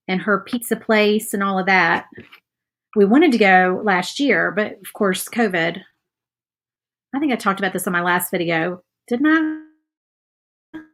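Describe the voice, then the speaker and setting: A woman's voice: medium pitch, a bit bright. A woman in her thirties from Virginia with a light Southern accent, chatting casually while painting a craft project and answering viewers. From Virginia, USA. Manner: chatty, friendly, thinks aloud while working. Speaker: craft vlogger. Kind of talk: casual chat while painting, reading live comments. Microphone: phone or camera close by.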